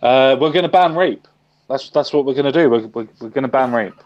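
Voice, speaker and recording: Brief: English streamer, tired and tipsy, teasing banter, dull lo-fi audio. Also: male voice, mid-range voice, brisk, a little mellow. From England. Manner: sleep-deprived, swearing, teasing, laughing often. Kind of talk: casual political banter.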